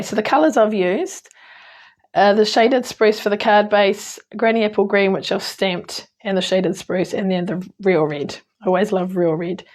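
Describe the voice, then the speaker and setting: A woman with a New Zealand accent, hoarse today, chatting through a card-making tutorial with frequent ums and small self-corrections. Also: mid-range voice. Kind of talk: casual crafting tutorial.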